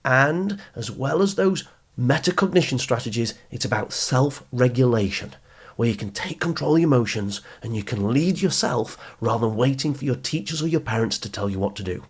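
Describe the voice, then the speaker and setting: A man hosting a motivational advice talk for teenagers, speaking in an emphatic, deliberate teaching manner that stresses key phrases. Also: medium pitch, animated, slightly rough. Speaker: podcast host and youth coach.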